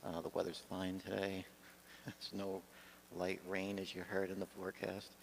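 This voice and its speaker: A man giving an informal, halting lesson as he demonstrates pilot procedures, speaking in an improvised way. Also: low voice, monotone, gravelly, poor audio. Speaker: pilot demonstrating radio practice. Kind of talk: informal instructional talk. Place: garage. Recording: faint background noise.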